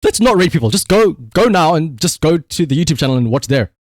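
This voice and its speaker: Guy streamer w a slightly high voice, rambling casually to chat, sweary n mock annoyed, really dry sound. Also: sing-song, a little fast, a little mellow, no echo. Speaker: livestreamer.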